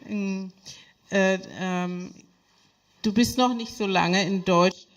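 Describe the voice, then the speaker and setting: A woman moderating a panel, halting and informal. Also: medium pitch, steady tone, far from the mic.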